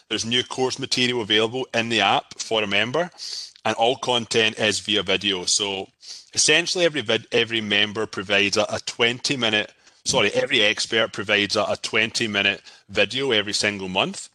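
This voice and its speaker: Chatty Scottish man, bright and dry. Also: lowish voice, dry recording. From Scotland. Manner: chatty, repeats words often, laughs easily. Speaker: podcast guest, entrepreneur. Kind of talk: casual interview chat.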